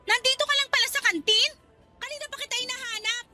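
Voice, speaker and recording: A confrontational young office worker in a radio drama. Her voice is very high, loud and accusing, full of scorn. Music plays clearly behind her. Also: steady tone, fast talker. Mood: angry, scornful. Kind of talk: Tagalog radio drama acting.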